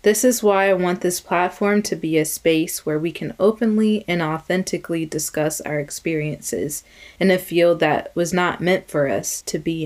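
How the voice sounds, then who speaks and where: Casting: young woman podcast host, somewhat low voice, upbeat and welcoming. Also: darkish tone. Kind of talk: podcast intro and group interview.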